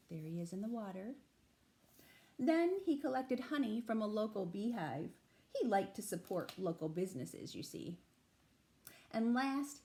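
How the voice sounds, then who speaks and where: A woman reading a picture book aloud to young children as a storytime librarian, expressive and emphatic, with explanatory asides. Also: mid-range voice. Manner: animated, emphatic, with explanatory asides to little listeners.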